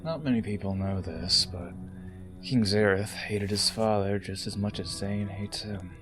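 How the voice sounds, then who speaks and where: A man acting a coldly taunting, possessively fond rebel with hushed, deliberate menace in an audio drama. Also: fairly low pitch, over music, close to an everyday voice. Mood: persuasive, cold.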